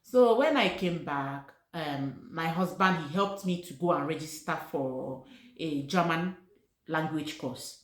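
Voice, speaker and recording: A woman's voice: deep voice, expressive, measured pace. A middle-aged woman from Nigeria whose first language is Igbo, telling her personal story to camera in a casual, earnest way and repeating key words for emphasis. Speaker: vlogger. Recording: echoey room.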